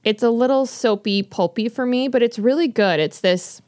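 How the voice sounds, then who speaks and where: A woman's voice: a little high, normal speed, darkish tone, no room sound, clean studio sound. A woman co-hosting a podcast, chatting casually and rambling with many fillers and restarts while recommending books. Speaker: podcast co-host. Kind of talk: casual chat about books.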